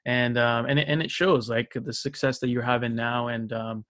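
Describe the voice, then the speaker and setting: A man of middle pitch hosts a casual interview. He speaks enthusiastically, praising and affirming his guest. His voice comes across dry, with no room sound. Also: steady tone, moderate pace, a bit bright, no room sound. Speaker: podcast host.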